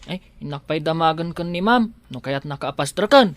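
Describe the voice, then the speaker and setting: A man's voice: on the high side, lively intonation, normal speed, dry recording, lightly acted. Man playing a devoted Ilocano household helper. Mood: wary, irritated. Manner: deferential, addressing his employer as ma'am. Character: loyal male household helper, devoted and protective of his lady employer. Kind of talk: Ilocano audio drama acting.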